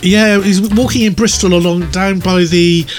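A man's voice: high-pitched, steady tone, moderate pace. A British man co-hosting a radio show in casual chat. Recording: music playing behind the voice. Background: music.

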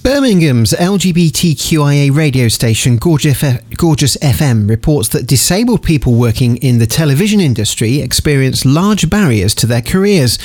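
A man's voice: medium pitch, a little mellow, no room sound. A man reading scripted news as a radio newsreader.

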